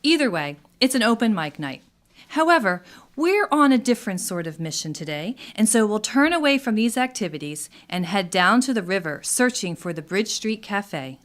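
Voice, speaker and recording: An actress playing an enthusiastic town guide in scripted radio theatre, with a theatrical delivery. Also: female voice, medium pitch, big pitch swings, moderate pace, natural voice. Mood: cheerful, curious. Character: enthusiastic woman guide strolling through a river town. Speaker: actor in a community radio play.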